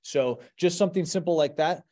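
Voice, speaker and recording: A man's voice: fairly high pitch, fairly quick, warm tone. Middle-aged man explaining in a webinar. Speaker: teacher, presenter. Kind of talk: explanatory webinar talk with examples. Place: indoors, on a video call.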